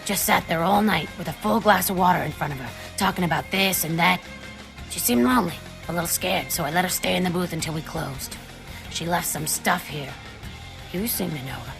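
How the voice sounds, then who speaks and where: Low, gravelly, weary American waitress. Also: female voice, music in the background. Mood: wistful, kindly. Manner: laconic, folksy, unhurried. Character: world-weary, kindly diner waitress. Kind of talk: video game voice acting.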